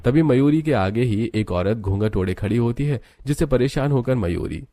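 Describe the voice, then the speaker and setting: A man's voice: medium pitch, animated, normal speed, warm tone. A man narrating a scripted moral story as its storyteller.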